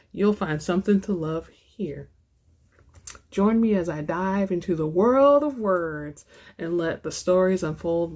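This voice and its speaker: A woman hosting a book channel, moving between casual chat to viewers and dramatic reading aloud. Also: medium pitch.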